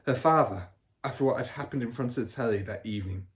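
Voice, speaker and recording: A man's voice: mid-range voice, fast. A man reading a children's storybook aloud expressively, like a primary school teacher.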